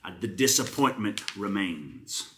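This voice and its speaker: A man's voice: on the low side, animated, measured pace, a bit bright, slight background noise. A man with a Southern US accent co-hosting a comedy show, mixing casual banter with theatrical, mock-serious readings of product reviews.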